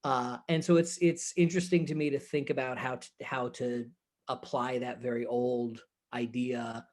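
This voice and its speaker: A man with a medium-pitched voice talks informally in an interview, like a director discussing his work. He is thoughtful and halting, often pausing to find the right word. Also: flat delivery, a little mellow. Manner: halting, thoughtful, searching for words. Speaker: theater director. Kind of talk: casual interview chat about a production.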